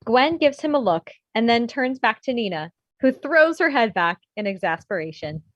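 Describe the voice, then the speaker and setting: Woman narrator, slightly high voice, dry close sound, screenplay stage directions. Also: lively intonation, normal speed, fairly bright tone, no echo, lo-fi sound. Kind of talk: scripted narration at a table read.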